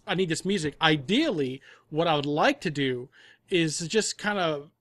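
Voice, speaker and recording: A man's voice: a little high, animated, normal speed, fairly bright tone, dry recording, studio quality. A man giving conversational interview answers as a guest producer, explaining things at length in rambling detail. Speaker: audio drama producer and composer, guest.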